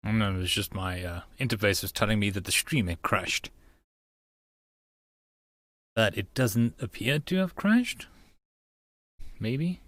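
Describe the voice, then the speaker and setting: A man's voice: on the low side, animated, unhurried, long pauses, a bit bright, dry recording. A man streamer talking casually in muttered, offhand asides during a live reading stream. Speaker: streamer reading a visual novel aloud.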